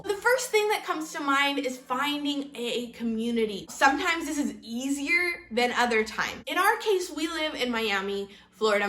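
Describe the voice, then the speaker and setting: A woman's voice: high-pitched, fairly bright tone, roomy sound. A woman in her thirties from Kansas, hosting a homeschool vlog and talking earnestly to camera. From Kansas, United States. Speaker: homeschool channel host. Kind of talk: casual vlog talk to camera.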